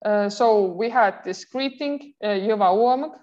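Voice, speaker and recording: A woman's voice: mid-range voice, measured pace, darkish tone. A woman in her thirties with an Estonian accent, giving an online university lecture with frequent filler sounds and restarts. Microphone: video call. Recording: muffled video call audio.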